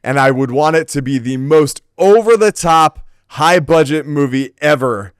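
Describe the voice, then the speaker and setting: Smooth, clear-voiced man co-hosting a podcast. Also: a little high, normal speed, no room sound, clean studio sound. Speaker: podcast co-host. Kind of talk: casual podcast chat.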